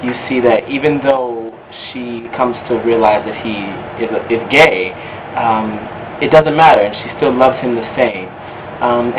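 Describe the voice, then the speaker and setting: A man answering thoughtfully in an interview as a cast member. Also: mid-range voice. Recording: dull lo-fi sound with background noise.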